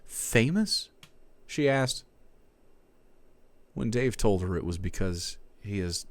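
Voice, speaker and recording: A man streaming, reading fan fiction aloud in a theatrical, comic way, shifting between hushed and loud. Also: sits low, vocal fry.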